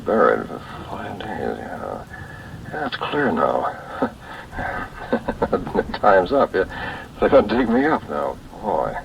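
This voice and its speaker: An actor playing a bewildered man buried alive, muttering haltingly to himself in an old-time radio thriller. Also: low-pitched, sing-song, vocal fry, exaggerated voice. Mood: groggy. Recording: distant, noisy old radio recording, rain behind.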